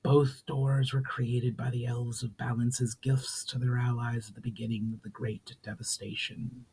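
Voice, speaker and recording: Guy doing an ancient haughty flame sorceress spirit, whispery, slow and solemn, fantasy storybook style. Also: mid-range voice, flat delivery, a little hiss or hum, natural voice, low-quality recording. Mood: stern, instructive. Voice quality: hushed, whispery, breathy. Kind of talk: dramatic audiobook reading.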